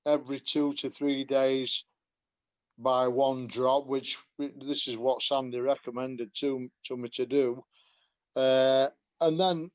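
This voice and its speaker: Yorkshire man, slow and chatty. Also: medium pitch, highs cut off. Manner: chatty, self-deprecating, laughs often. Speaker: guest giving a testimonial. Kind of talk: casual video call chat.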